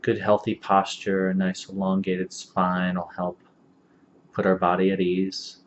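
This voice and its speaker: A man with a low voice leads a guided body-scan meditation. His delivery is very level and gentle, leaving long pauses between phrases. The recording is somewhat dull and lo-fi. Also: completely flat delivery. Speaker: meditation instructor. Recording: dull lo-fi audio, faint background noise.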